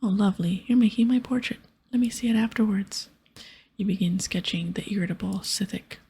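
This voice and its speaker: A woman hosting an ASMR game playthrough, soft-spoken and breathy, often whispering as she narrates and reads text aloud. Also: mid-range voice, brisk. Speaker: ASMR gaming host.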